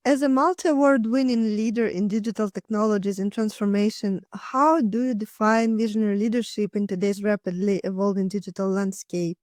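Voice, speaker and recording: A woman's voice: mid-range voice, darkish tone, no echo. Woman host doing intros and questions, mid voice, upbeat, dry room. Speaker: podcast host. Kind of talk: scripted introduction and interview questions. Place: studio.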